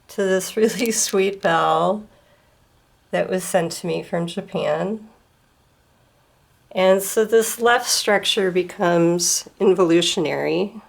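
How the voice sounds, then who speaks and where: A woman at home gently explaining a handmade display to a camera, her voice slightly hoarse from a starting cold. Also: mid-range voice. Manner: gentle, unhurried, pausing to explain details. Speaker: student presenting a craft project. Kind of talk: slow show-and-tell explanation. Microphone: camera filming a display.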